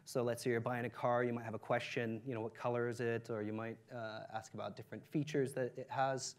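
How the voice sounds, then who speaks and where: A man giving an energetic startup pitch presentation, with many fillers, lifting his voice on key points. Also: brisk. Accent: non-Ukrainian English.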